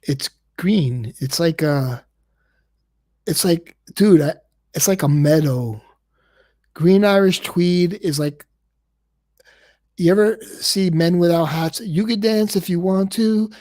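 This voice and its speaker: A man's voice: high voice, slow pace, long pauses, a little mellow. A man hosting a casual livestream, rambling and repeating words, and he says he stutters. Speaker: livestream host.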